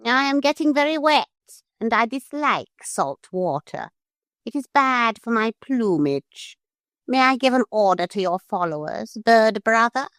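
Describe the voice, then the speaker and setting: A woman voicing a talking parrot who speaks earnestly, calmly and formally. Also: on the high side, measured pace, dry recording, voice pushed up. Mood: earnest pleading. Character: earnest, stately talking parrot, polite but commanding.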